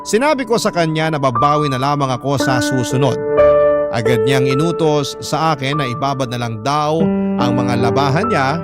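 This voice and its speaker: A man's voice: mid-range voice, normal speed, over loud music, natural voice. A man voicing an earnest, anxious young person recounting a personal story as scripted drama narration.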